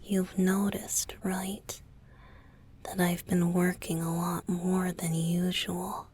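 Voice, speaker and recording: A woman voicing a tender, playful young male pop idol boyfriend in an intimate ASMR roleplay, soft, breathy and often whispering, with a hesitant, affectionate delivery. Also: on the low side, completely flat delivery, slight background noise. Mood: soft, earnest. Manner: slow, hesitant, affectionate, coy.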